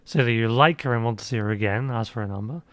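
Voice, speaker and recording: A man's voice: mid-range voice, fast, fairly bright tone, no echo. A man reads the game's choices aloud and adds sarcastic, mocking remarks. His voice sits in a middle range. The sound is dry and close, with no room around it. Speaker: let's play commentator. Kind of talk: reading game choices aloud with sarcastic asides.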